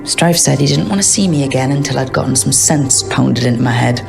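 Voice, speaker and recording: A voice actor as a gravelly, sarcastic elf rogue. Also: medium pitch, brisk, over music. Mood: reflective. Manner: wry, sarcastic, joking. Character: sarcastic young elf adventurer, reckless rogue.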